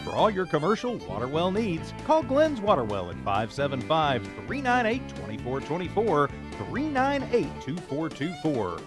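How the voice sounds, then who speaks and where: A man's voice: fairly high pitch, huge pitch swings, moderate pace, few pauses. A man reading a scripted local business advertisement as a commercial announcer. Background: clear music bed.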